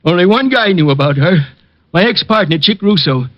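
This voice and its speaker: A man's voice: high voice, animated, brisk, dry recording. An actor playing an older man, a frightened, protective ex-convict with streetwise slang, in an old radio crime drama. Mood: resigned, confessing. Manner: pleading, streetwise slangy speech. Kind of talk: 1940s-50s radio drama acting. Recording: dull vintage radio recording.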